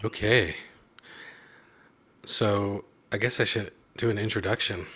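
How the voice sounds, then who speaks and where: A man's voice: fairly low pitch, steady tone, unhurried, pushed and bright, slightly rough. Casual, joking man hosting a chat. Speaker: host of a chat show. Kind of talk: casual video chat interview.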